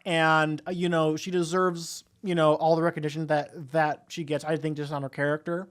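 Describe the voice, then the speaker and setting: A man co-hosting a movie podcast, chatting casually in an animated, joking fan's way. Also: fairly high pitch, moderate pace. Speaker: co-host of a movie review podcast. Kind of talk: casual movie discussion chat.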